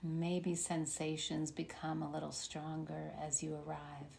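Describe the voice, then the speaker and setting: Woman doing guided meditation, really slow and creaky, soothing w long pauses, bit of background noise. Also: sits low, completely flat delivery, a little mellow. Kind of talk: guided meditation, body scan.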